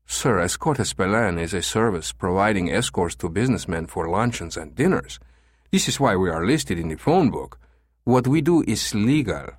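A man's voice: fairly low pitch, animated, normal speed, no echo, studio quality. A man voicing a careful, polite businessman in an audiobook story, speaking in a formal and guarded manner. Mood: guarded, cool. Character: careful, smooth Spanish escort agency manager, a man.

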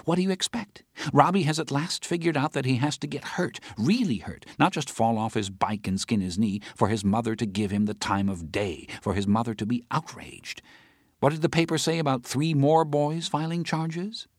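A man voicing an agitated, outspoken adult woman who rants with sharp sarcasm in an audiobook. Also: mid-range voice, dry recording, studio quality, voice pushed up, lightly acted. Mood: indignant. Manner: indignant, rapid sarcastic rants. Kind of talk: audiobook character voice.

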